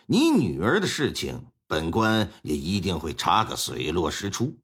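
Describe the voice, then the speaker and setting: A man voicing a stern male county magistrate in a told story, speaking slowly and with authority. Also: low voice, wildly swooping pitch, a bit bright, breathy edge, voice pushed down, exaggerated voice. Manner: slow, weighty, authoritative.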